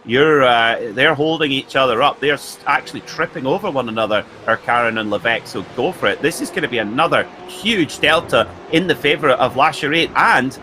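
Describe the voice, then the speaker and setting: A man's voice: mid-range voice, brisk, hardly any pauses, bright, forceful tone, a little hiss or hum. A man, a sim racing co-commentator, talking through the race analytically and chattily, with many fillers. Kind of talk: live race commentary and banter.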